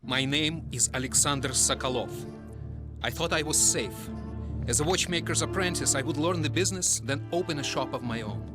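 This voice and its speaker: A young Russian soldier voiced by a man, earnest and resolute, tells his story in a war game. His voice is fairly high and solemn, with loud music underneath. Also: moderate pace, natural voice. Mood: wistful. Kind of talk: dramatic first-person game narration.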